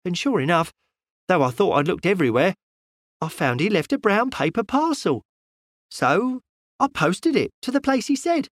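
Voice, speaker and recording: A man's voice: sits high, very animated, normal speed, dry recording, clean studio sound, pitched above the performer's own voice. A man voicing a talkative, fussy middle-aged shopwoman retelling events in a gossipy way. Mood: chatty.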